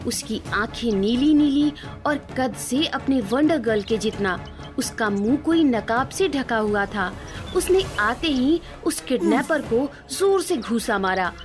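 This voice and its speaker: A woman's voice: a little high, animated, slow, faint music behind. A woman narrating a scripted children's cartoon story.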